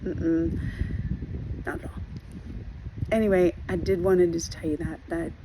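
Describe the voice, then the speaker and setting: Woman vlogger, voice hoarse and worn, halting and earnest, lowish pitch, audible background noise. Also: steady tone. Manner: earnest, halting, with many fillers and restarts. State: hoarse from losing her voice. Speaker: trauma recovery vlogger. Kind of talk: personal video update.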